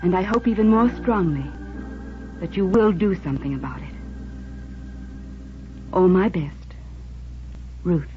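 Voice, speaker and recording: A woman's voice: lowish voice, lively intonation, unhurried, long pauses, slight background noise. An actress playing a concerned wife in 1940s radio drama style, earnestly pleading as she voices a letter. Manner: earnest, pleading, careful diction. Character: concerned, earnest wife writing to her troubled husband. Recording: tinny old radio broadcast over organ music.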